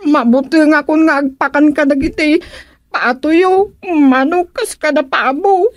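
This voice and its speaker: A woman's voice: sits high, unhurried, no echo. A woman acting in a radio comedy as a timid, whiny young niece who speaks to her elders. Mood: fearful.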